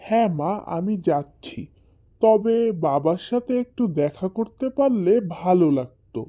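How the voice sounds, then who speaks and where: A woman's voice: fairly low pitch, animated, unhurried, mellow. Woman voicing an earnest, dutiful young son. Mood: earnest, hopeful. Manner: earnest, affectionate.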